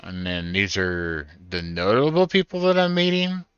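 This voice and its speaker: A man casually narrates his playthrough, musing aloud as he goes. His voice is low and clear, recorded dry with game music behind it. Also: sing-song, moderate pace, a bit bright, dry recording, highs cut off. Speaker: gaming streamer. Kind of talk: casual first-time playthrough commentary.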